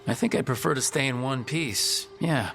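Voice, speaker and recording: A man's voice: medium pitch, moderate pace, gravelly, fairly bright tone, faint music behind. Level-headed young male game hero. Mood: resolute. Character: level-headed young male adventurer leading a rescue party. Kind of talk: video game hero acting.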